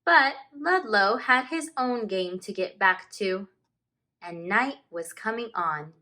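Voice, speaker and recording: A woman teacher reading a picture book aloud to children, expressively and with deliberate dramatic emphasis. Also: mid-range voice, expressive, sharp tone.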